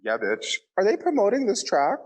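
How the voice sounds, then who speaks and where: A man's voice: sits high, wildly swooping pitch, normal speed. A man co-hosting a podcast in a casual, opinionated way, with campy, slangy talk. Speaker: podcast co-host.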